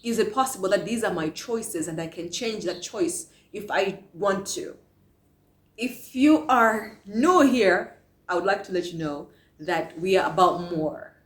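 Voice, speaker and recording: A woman's voice: medium pitch, expressive, measured pace. A woman from Malawi giving a passionate motivational talk to camera, asking many rhetorical questions. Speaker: vlogger. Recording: echoey room.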